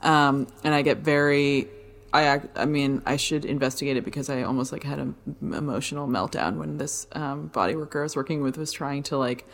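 A woman with a notably low voice, chatting casually as a podcast co-host. She rambles through anecdotes with plenty of "um" and "like", and laughs easily. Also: fairly even intonation, brisk, vocal fry. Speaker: podcast co-host, fitness and dance teacher.

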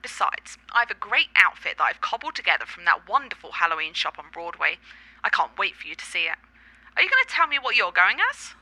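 A woman's voice: on the low side, very animated, brisk, sharp tone, no room sound, heavily acted, rough recording. A woman playing an affectionate, cultured girlfriend in a radio play, articulate and slightly theatrical. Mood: bright, affectionate.

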